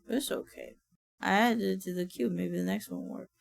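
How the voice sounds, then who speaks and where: A woman streaming from her desk, chatting casually. She sounds tired and a little raspy, swears freely and laughs often. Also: medium pitch, steady tone, fairly quick. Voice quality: raspy when sleepy. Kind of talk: casual livestream chat.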